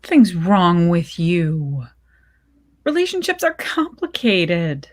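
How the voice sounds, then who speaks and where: A woman reading the part of a gentle, affectionate middle-aged mother in a short story, soothing and reassuring. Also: fairly low pitch, sing-song, slow pace, exaggerated voice. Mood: comforting. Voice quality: husky, creaky, gentle.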